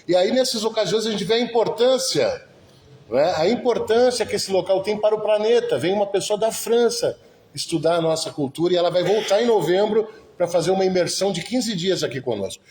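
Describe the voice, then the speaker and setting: A man's voice: high voice, lively intonation, a little fast, darkish tone. A man from Brazil hosting an event, giving an informal but effusive introduction to guests. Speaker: host of the venue. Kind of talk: informal public introduction speech. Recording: echoey room.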